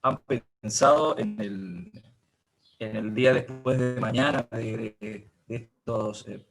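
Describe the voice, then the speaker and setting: A man moderating a conversational interview, building long questions haltingly and repeating words as he searches for phrasing. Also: fairly low pitch, steady tone, gravelly, over music, low-quality recording.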